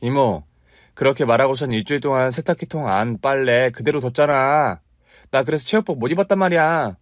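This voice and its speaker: Nagging tidy little boy, read by a man, pitched up, dry. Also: fairly quick, no room sound, lightly acted. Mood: complaining. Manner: nagging, childish. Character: fussy, tidy young boy nephew.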